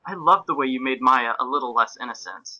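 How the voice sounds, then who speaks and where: A man's voice: mid-range voice, fast, sharp tone, muffled recording. A man reading fan fiction and reader comments aloud in a casual, comic podcast style.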